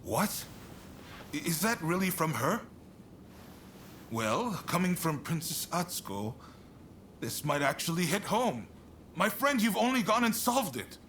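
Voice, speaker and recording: A man acting an affable, shrewd statesman in a video game cutscene, expressive and earnest but conversational. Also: on the high side, a little slow, pauses often, slight background noise. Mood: amazed, delighted. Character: affable shogunate statesman, shrewd negotiator, warm toward his ally. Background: music.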